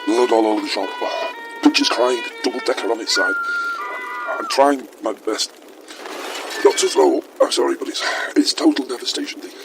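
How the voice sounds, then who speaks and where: A man's voice: mid-range voice, huge pitch swings, moderate pace, long pauses, over music, rough recording. A man playing a distraught amateur eyewitness who struggles to speak, overwhelmed by horror, in an audio comedy.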